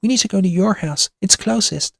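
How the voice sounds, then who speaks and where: A woman's voice: lowish voice, normal speed, gravelly, warm tone, no room sound, studio quality, voice pushed up. A woman voicing a nervous, sensible young girl who speaks in urgent warnings. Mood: frightened, urgent. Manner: urgent, cautioning.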